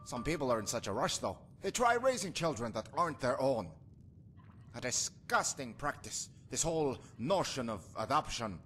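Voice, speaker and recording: A man's voice: medium pitch, big pitch swings, normal speed, a bit bright, faint music behind. An actor playing a superstitious rustic husband and father in a video game, folksy, breezy and matter-of-fact about grim things. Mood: sour. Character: jovial superstitious rustic husband and father, callous, cheerfully macabre. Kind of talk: video game character acting.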